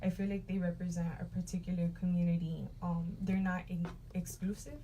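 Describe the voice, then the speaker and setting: A woman's voice: fairly low pitch, flat delivery, a little fast, warm tone, slight background noise, rough recording. A woman hosting a talk show, speaking upbeat and conversational, with frequent ums and likes. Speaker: host.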